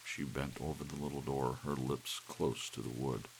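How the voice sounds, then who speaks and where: A man narrating an audiobook in ASMR style, with soft rain behind him. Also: very deep voice, fairly even intonation, moderate pace, gravelly, slight background noise, low-quality recording.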